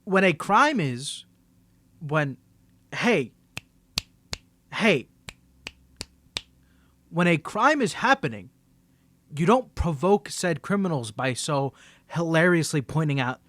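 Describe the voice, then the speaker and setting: A man's voice: fairly high pitch, very animated, takes it slowly, long pauses, a bit bright, full character voice. A man playing a confident, teasing young superhero in a scripted audio roleplay, bantering playfully and stammering when he gets flustered. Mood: exasperated, teasing.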